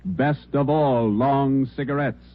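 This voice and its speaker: A man announcing in a formal 1950s radio style, authoritative and measured. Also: medium pitch, steady tone. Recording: dull old radio recording with music.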